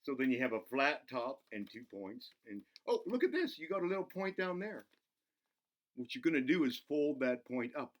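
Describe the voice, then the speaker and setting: An excitable buzzard acted out by a man hosts a children's show with goofy, playful energy. The mid-pitched voice swings widely up and down, breaking into its own sentences and chatting to itself in the third person as it coaxes the kids along. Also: moderate pace, close to an everyday voice. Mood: playful, encouraging. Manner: giggly, self-interrupting, talks to himself in third person. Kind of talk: playful craft demonstration for children.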